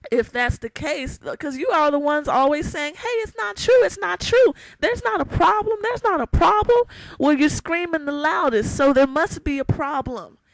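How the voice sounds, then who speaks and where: A young woman with a raspy edge and a fairly high voice speaks straight into the camera in a heated vlog rant. She hammers her points home and repeats them for emphasis. The sound is dry and close, with no room echo. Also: lively intonation, normal speed. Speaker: vlogger. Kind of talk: ranting to camera.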